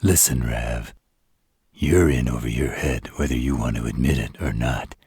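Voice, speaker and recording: Exasperated, loyal male shapeshifter acted by a man, colloquial, unhurried, very low register, close dry audio drama sound. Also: a little mellow, slightly rough, dry recording, heavily acted. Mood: blunt. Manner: blunt, colloquial, sardonic. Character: gruff, wisecracking male shapeshifter bodyguard, loyal but exasperated.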